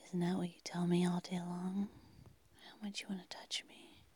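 A woman playing a seductive, insistent secret night-time lover in an intimate audio roleplay, speaking in a hushed, breathy, coaxing whisper. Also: fairly low pitch, level tone. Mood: teasing, seductive. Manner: coaxing, hushed, slow and pleading.

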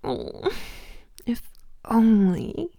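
A woman whispering softly and teasingly in a possessive, intimate audio roleplay, laughing often, close to the microphone. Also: fairly high pitch, big pitch swings, very slow, dry recording.

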